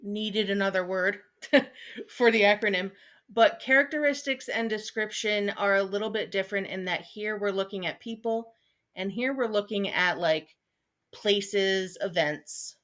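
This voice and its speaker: A woman in her thirties, a teacher, explaining a lesson in a relaxed, chatty way, with frequent ums and small asides. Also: mid-range voice, unhurried. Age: 30s. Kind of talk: recorded online lesson. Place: at home, seated at a desk.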